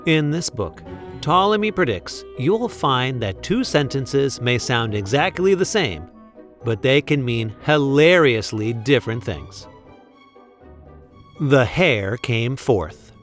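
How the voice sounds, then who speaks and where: A man reading a picture book aloud expressively, with deliberate, playful emphasis on the sentences. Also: mid-range voice, very animated, faint music behind. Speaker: read-aloud storyteller.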